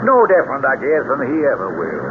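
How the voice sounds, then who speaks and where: Lively Southern storekeeper. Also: male voice, medium pitch, fast talker. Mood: musing. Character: folksy, gossipy backwoods Southern general store keeper. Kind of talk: 1970s radio drama acting. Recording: very noisy, tinny old radio recording.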